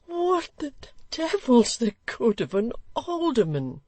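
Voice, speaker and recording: Woman putting on a slow, high, choleric old gentleman for a storybook; dry close read. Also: big pitch swings, no room sound. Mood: indignant. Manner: pleading, indignant outbursts.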